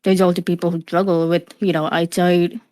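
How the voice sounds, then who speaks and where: A young woman with a slightly low voice speaks in a loose, unhurried way in an interview. A speech impediment from her hearing loss gives her words a distinctive shape. She often restarts her sentences. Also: little pitch movement, darkish tone. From Cuban, living in the USA. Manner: frequent fillers and restarts, laughs easily. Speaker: streamer, interview guest. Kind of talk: casual interview answers.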